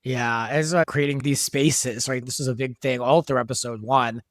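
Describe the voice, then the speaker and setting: A man's voice: mid-range voice, fairly even intonation, moderate pace, no room sound, studio quality. A man talking casually as a show creator being interviewed about a production. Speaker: show creator and podcast guest.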